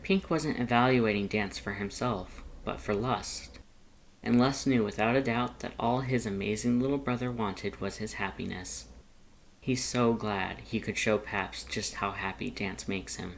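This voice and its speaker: A narrator reading fan fiction aloud as an expressive, scripted audiobook-style story. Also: medium pitch.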